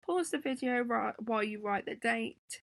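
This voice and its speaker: Croaky woman teaching young kids. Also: a little high, normal speed, no room sound. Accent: English. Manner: gentle, encouraging, with many ums. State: hoarse with a sore throat. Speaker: primary school teacher. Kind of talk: remote lesson to children. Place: home room indoors. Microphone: laptop webcam.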